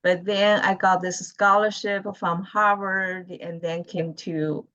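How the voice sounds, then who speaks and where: A woman from mainland China with a Chinese accent, chatting on a video call as a guest, pausing and searching for words. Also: fairly low pitch, fairly even intonation, fairly bright tone, dry recording. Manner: halting, searching for words, laughs often. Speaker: guest, lawyer. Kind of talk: casual video-call chat. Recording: muffled video call audio.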